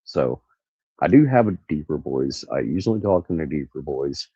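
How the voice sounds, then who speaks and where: A man's voice: a bass voice, lively intonation, moderate pace, warm tone, husky, no room sound, highs cut off. A man with a nasal voice hosting a rambling, casual true crime livestream from an outdoor carport in the country. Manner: rambling, stops to look things up. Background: crickets and cicadas.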